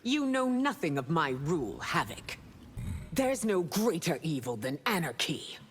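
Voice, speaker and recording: Low-voiced haughty monarch. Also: female voice, sing-song, a little slow, in a large hall, faint music behind. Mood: disdainful. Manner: haughty, declarative. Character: haughty, authoritarian female monarch, cold and disdainful. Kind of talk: fighting game character dialogue.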